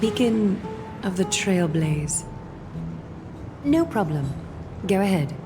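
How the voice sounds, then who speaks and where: A woman playing a poised, gracious noblewoman in a video game, speaking in a formal, measured, soothing way with quiet mystery. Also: mid-range voice, big pitch swings, music in the background. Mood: gracious, composed. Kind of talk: video game character dialogue.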